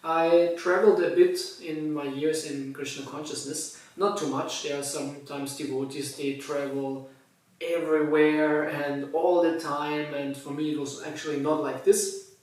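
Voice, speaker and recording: Austrian guy w german accent, kinda high voice, stops and restarts a lot, far from the mic. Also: little pitch movement, a little slow, darkish tone. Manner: hesitant, searching for words, frequent fillers and restarts. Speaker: Hare Krishna monk. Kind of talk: personal testimony to camera. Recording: echoey room, voice from a distance.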